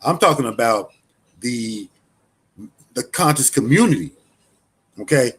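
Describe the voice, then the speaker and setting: A man's voice: medium pitch, lively intonation, a little slow, a bit bright, slightly rough. A man giving loose, conversational commentary, full of restarts and fillers, as a show host. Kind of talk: casual spoken commentary.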